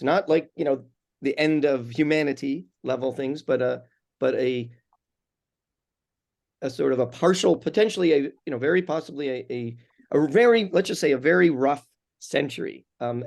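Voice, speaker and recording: A middle-aged man, an author and activist, answering an interview question in a halting way full of restarts and fillers. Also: mid-range voice, a little mellow. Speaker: author and climate activist guest.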